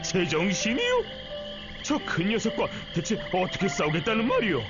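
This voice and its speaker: High strained-up male pitch, swooping intonation, cowardly flustered sea captain, stammering, game voice acting. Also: wildly swooping pitch, moderate pace, full character voice. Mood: incredulous. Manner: stammering, flustered, old-fashioned gruff speech. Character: panicky defeatist male ship captain. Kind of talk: exaggerated Korean game voice acting. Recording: muffled game audio with music.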